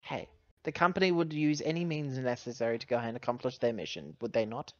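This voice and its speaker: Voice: mid-range voice, fairly quick, no room sound, close to an everyday voice. Player doing a sarcastic woman space captain, grudging and informal, tabletop game, kinda muffled dry mic. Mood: defiant.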